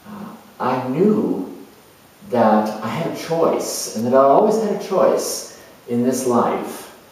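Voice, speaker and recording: A man playing an earnest, reflective spiritual seeker in a dramatized monologue, speaking haltingly and conversationally with pauses. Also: medium pitch, sing-song, darkish tone. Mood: earnest, wondering. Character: earnest spiritual seeker, male adventurer turned motivational broadcaster. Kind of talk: monologue in character, delivered conversationally. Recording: echoey room, distant microphone.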